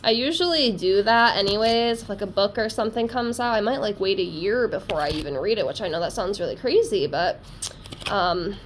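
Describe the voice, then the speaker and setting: A woman's voice: medium pitch, animated, brisk, a little hiss or hum. A woman with a congested, stuffy voice from a cold, chatting casually and rambling as she reads tarot cards. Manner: rambling, talkative, self-deprecating. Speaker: tarot reader.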